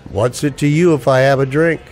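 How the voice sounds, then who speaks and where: A man's voice: medium pitch, steady tone, fairly quick, darkish tone, natural voice. An actor playing a wry, wary ex-soldier down on his luck, with dry, sardonic banter in a radio play. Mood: wary, teasing. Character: wry, wary unemployed ex-Ranger, expectant father. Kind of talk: radio play dialogue in homage to old radio dramas.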